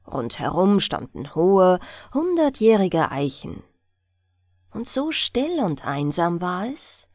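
Slow, expressive woman reading a bedtime story. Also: medium pitch, dry recording, studio quality. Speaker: audiobook narrator. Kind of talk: bedtime storytelling, scripted narration.

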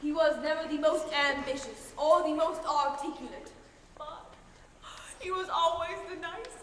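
Woman as stage fable narrator, declamatory, deliberate, very high pitch, long hall echo. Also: a bit bright. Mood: theatrical storytelling. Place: on stage in a theater. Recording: very echoey theater hall, faint noise.

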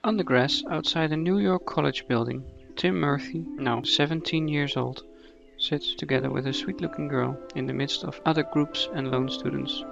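A man narrating a scripted radio play in a measured, dramatic storytelling manner. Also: fairly high pitch, vocal fry, highs cut off, music in the background.

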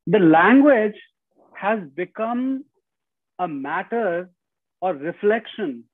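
A man's voice: high voice, sing-song, slow, no echo. A middle-aged man with an Indian accent, giving an earnest lecture over a video call from home, often saying "you know" and restarting phrases. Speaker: guest speaker. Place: home room, indoors. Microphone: video call with earbuds. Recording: dry, tinny video call audio.

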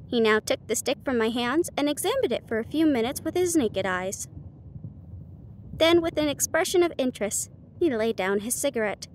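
A woman narrating a classic story aloud in an expressive storybook style. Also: sits high, slight background noise.

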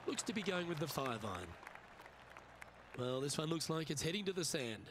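A man playing a TV golf commentator in a video game, calling play in a measured, conversational way. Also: a little high, big pitch swings, a crowd in the background, background noise. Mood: measured commentary.

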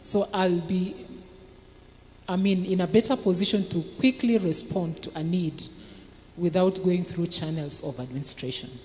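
A middle-aged woman with a Kenyan English accent, explaining her church department's community work on a panel in an earnest, measured way, speaking into a handheld mic. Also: lowish voice, darkish tone. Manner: earnest, measured, restarts and fillers. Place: church TV studio set with plants, seated. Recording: long hall reverb, faint noise.

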